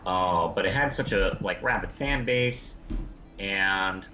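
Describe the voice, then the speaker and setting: A man co-hosting a review show, explaining things in casual chat with halting pauses. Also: low-pitched, bright, forceful tone. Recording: dull, roomy sound with faint noise.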